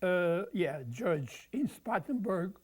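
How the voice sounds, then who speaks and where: High-voiced man in his nineties. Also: expressive, husky. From Croatia. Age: 90s. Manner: halting, with many pauses and fillers. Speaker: veteran being interviewed. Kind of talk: oral history interview.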